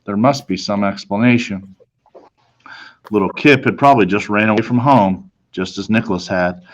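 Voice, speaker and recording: A man with a fairly low voice narrates a storybook for children. He reads dramatically and leaves deliberate pauses for effect. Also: highs cut off, slight background noise. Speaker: storybook reader.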